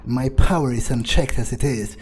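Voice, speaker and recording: A man's voice: medium pitch, moderate pace, a little mellow, a little hiss or hum, close to an everyday voice. A man voicing an arrogant, power-hungry male vampire in a cold, self-assured manner, roleplaying over a video game. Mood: boastful. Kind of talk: in-character roleplay over gameplay. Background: game music.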